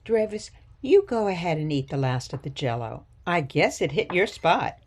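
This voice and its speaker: A woman's voice: lowish voice, very animated, normal speed. A woman playing a sweet, doting elderly grandmother in a radio play, with a gushing, soothing manner.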